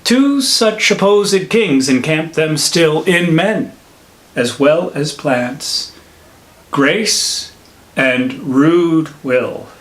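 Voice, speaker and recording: Casting: male actor as elderly holy friar for classical stage monologue. Higher male voice, unhurried theatrical verse, sage and admonishing. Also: lively intonation, a bit bright, full character voice, poor audio. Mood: musing, reverent. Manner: deliberate, measured, theatrical verse delivery. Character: old holy friar, wise, reflective, scolding mentor.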